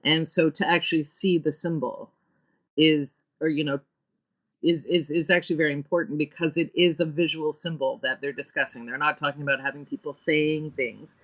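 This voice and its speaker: A woman's voice: deep voice, fairly even intonation, normal speed, fairly bright tone. A woman speaking as an interview guest, explaining carefully and correcting her wording as she goes. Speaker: interview guest, theatre director.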